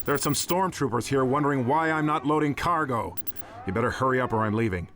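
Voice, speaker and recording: An actor playing a cocky, impatient smuggler pilot in a video game, talking in a wry, grumbling, informal way. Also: male voice, mid-range voice, fast, a bit bright, slight background noise, natural voice. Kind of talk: dramatic video game acting.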